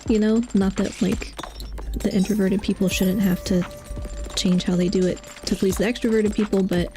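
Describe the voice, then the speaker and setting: A young woman streaming a game and chatting casually about her day, confiding and laughing often, a little hoarse as she starts losing her voice. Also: medium pitch, dark tone. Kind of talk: casual chat while playing a game.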